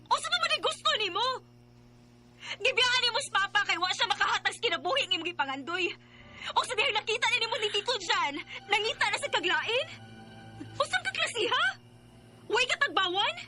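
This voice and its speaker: A woman's voice: very high-pitched, big pitch swings, brisk, very noisy. An actress playing a guarded, proud young student woman in a radio drama, wounded and sincere. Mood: angry, hurt. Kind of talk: radio drama acting and narration.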